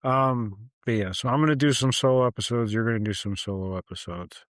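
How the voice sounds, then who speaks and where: A man's voice: medium pitch, fairly quick, no echo. A man co-hosting a podcast, talking casually in a blunt, dry, sarcastic way. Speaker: podcast co-host. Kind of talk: casual chat.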